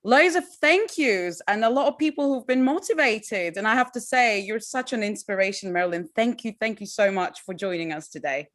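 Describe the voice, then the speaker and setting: Woman, host, upbeat, encouraging, mid-pitched voice, video call audio. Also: animated, moderate pace, few pauses, fairly bright tone. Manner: upbeat, encouraging, addresses audience as guys. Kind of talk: hosting an online award ceremony.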